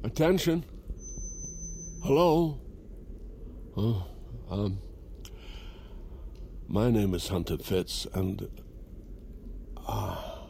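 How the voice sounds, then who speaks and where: Slow, earnest, halting hero. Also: male voice, fairly low pitch, sing-song, warm tone, slight background noise, heavily acted. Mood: nervous. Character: earnest, uncertain young man turned truth-telling broadcaster. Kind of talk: audio drama acting. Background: clear dramatic music.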